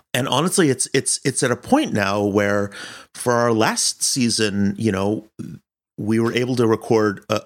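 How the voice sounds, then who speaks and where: An American man answering interview questions as a director, explaining his work in a reflective, conversational way. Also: on the low side. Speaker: director and producer being interviewed. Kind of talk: casual interview.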